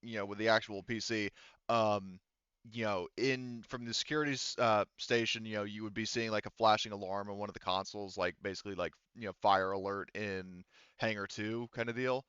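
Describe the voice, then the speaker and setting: A man running a tabletop roleplaying game as game master, explaining things casually in a halting way. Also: fairly low pitch, fairly even intonation, fairly bright tone, clean tone, no echo, muffled recording.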